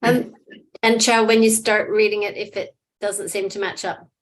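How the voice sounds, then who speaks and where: A woman on course staff giving brisk, helpful answers on a video call, a little hoarse as her voice fades. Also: medium pitch, little pitch movement, rough recording. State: losing her voice. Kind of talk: online class discussion.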